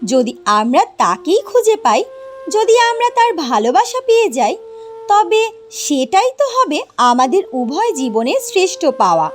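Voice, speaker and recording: A woman narrates a scripted fairy tale in a high, very lively voice. She goes slowly, line by line. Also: a little hiss or hum.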